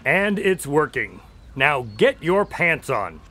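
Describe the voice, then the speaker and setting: Exasperated male sidekick, radio drama storyteller, lightly high pitch, very animated, dry delivery. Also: slow, full character voice. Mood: alarmed, scolding.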